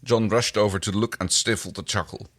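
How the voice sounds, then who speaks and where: A man co-hosting a fan fiction reading, narrating the story aloud in an expressive way. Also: lowish voice, a little fast. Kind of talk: animated story reading with character voices.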